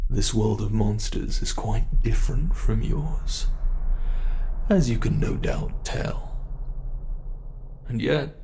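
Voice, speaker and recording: A British man voicing a predatory male monster from under the bed in a horror roleplay. He speaks slowly and playfully, taunting his prey and dropping to whispers. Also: medium pitch, gravelly, darkish tone.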